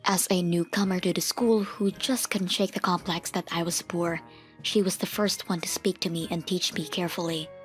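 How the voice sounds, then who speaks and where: A woman playing a firm, principled mother in a manga dub, speaking in a stern, measured, matter-of-fact way. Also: on the low side, steady tone, natural voice. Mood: resolved, reflective. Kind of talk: manga dub dialogue and first-person narration. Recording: music behind the voice.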